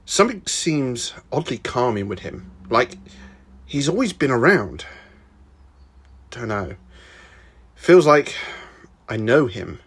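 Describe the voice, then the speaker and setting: A man voicing a wary, intense team member in a dramatic audiobook, speaking in a halting, suspicious way. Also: medium pitch, very animated, slightly rough, heavily acted. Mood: uncertain. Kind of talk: dramatic audiobook character acting.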